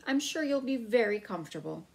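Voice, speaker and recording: A woman's voice: fairly high pitch, animated, a little fast, gravelly. Courteous hotel bellboy, as a woman narrator plays him. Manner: courteous, reassuring. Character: seasoned, polite hotel bellboy named Matt.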